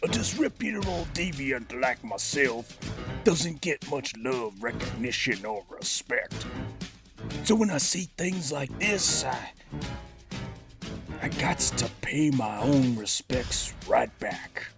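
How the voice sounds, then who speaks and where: A man's voice: medium pitch, expressive, slow, over music. A man voicing a cocky, menacing cartoon master criminal who talks in theatrical street slang while presenting a scripted toy review. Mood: grateful, swaggering. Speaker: host of a toy figure review. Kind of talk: scripted in-character review with radio-drama flair.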